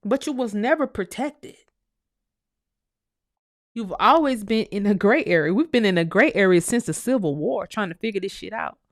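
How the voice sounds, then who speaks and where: A woman's voice: mid-range voice, expressive, normal speed, no echo, clean studio sound. A woman hosting her own commentary channel, speaking in an impassioned, preachy monologue that repeats phrases and swears freely.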